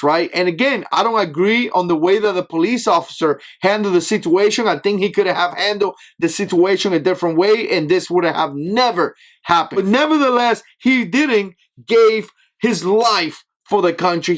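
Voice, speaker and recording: Guy with an accent ranting on his channel, kinda high voice, keeps saying right?. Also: a bit bright. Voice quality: speaks with an accent that is not standard American. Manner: emphatic, with frequent tag questions of "right?". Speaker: channel host. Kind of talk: opinion commentary to camera.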